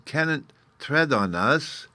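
A man's voice: fairly high pitch, expressive, takes it slowly, sharp tone, dry recording, voice pushed up, exaggerated voice. A man voicing a tiny, earnest and proud boy fairy from a storybook, scornful at times, in childish baby talk with mispronounced words. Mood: amused, explaining. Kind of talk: storybook character reading.

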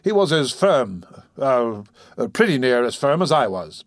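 A man voicing a pompous, self-important male servant in a storybook, telling his tale with drawn-out emphasis. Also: mid-range voice, sing-song, no echo, clean studio sound. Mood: smug. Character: pompous male household servant proudly recounting a robbery. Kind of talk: dramatised storybook dialogue.

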